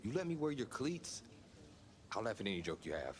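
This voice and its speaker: A man playing a young, cocky college football quarterback in a video game drama, talking in a casual, slangy, expressive way. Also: on the low side, brisk, a little hiss or hum. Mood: flustered. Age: young adult. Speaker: voice actor. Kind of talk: naturalistic sports video game drama acting.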